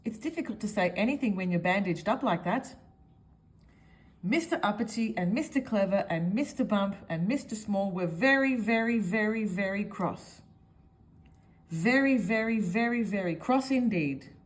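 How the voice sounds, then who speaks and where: Female storyteller for a children's picture book, expressive, highly varied intonation, speaks straight to young listeners. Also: normal speed, poor audio.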